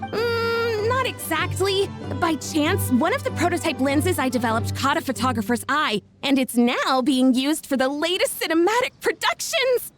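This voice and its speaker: An excitable young female inventor from a video game, with a very high and lively voice. She gushes theatrically in formal phrases. Faint music plays behind her. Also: normal speed. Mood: pleased. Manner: gushing, theatrical, formal wording. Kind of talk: animated video game acting.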